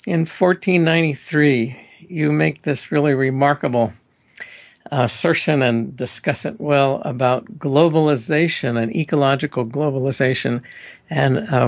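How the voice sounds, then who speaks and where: A man's voice: medium pitch, steady tone, unhurried, no room sound. A man hosting a radio interview, asking questions in a chatty, enthusiastic way with frequent uhs.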